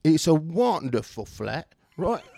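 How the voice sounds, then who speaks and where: A man's voice: fairly high pitch, very animated, takes it slowly, creaky, warm tone, no echo, full character voice. Texan guy faking a British bloke, playful, rambling, dry close sound. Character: tipsy man faking a British accent.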